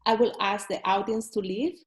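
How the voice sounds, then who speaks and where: A woman's voice: medium pitch, steady tone, moderate pace. A woman moderating an academic session over a video call, giving formal procedural announcements. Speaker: defense moderator.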